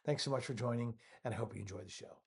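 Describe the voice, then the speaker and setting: A man's voice: medium pitch, racing, vocal fry, slightly rough. A man hosting a podcast, greeting listeners and asking a guest questions in a friendly, rambling way with many fillers. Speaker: podcast host. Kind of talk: casual interview hosting.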